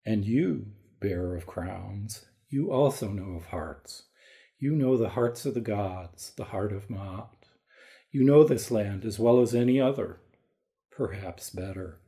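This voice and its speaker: Man acting Ra, a mellow, gravelly king. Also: fairly low pitch. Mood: solemn, probing. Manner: measured, formal, regal. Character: Ra, solemn, caring divine king of ancient Egypt. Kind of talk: dramatised audio story reading.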